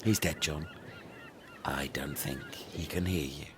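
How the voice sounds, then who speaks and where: A man's voice: very deep voice, slow. A man performing scripted comedy dialogue. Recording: clearly noisy background.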